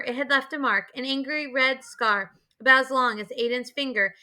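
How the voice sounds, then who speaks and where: A woman's voice: high-pitched, sharp tone. A woman narrating an adventure novel as an audiobook, in a scripted storytelling style.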